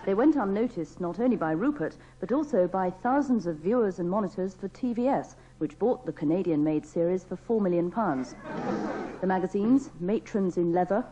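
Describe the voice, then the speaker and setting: A woman's voice: medium pitch, animated, moderate pace, dark tone, a faint crowd. A British woman reads radio news, fighting to keep her composure. The archive recording is slightly dull, with faint voices of people around her. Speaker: radio newsreader. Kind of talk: news reading breaking into giggles. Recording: muffled archive tape, people in studio.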